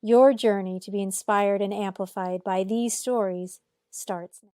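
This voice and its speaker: A woman reading a podcast's scripted intro in a calm, measured way. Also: mid-range voice, clear, resonant tone, no echo, clean studio sound. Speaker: podcast host.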